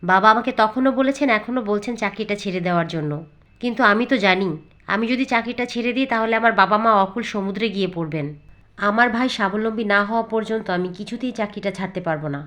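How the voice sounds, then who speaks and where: A woman's voice: mid-range voice, fast talker, a bit bright, natural voice. A woman voicing a dutiful, weary young daughter-in-law in a cartoon, speaking earnestly and politely. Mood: earnest, firm. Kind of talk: Bengali moral-story cartoon acting.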